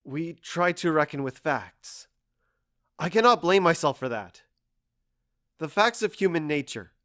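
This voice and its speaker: A man's voice: fairly high pitch, sing-song, measured pace, a bit bright. A man playing a stoic, wounded lover in a stage-style audio drama, speaking in a formal, restrained and dryly ironic manner. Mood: defensive. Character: stoic, wounded, sardonic man being left by love.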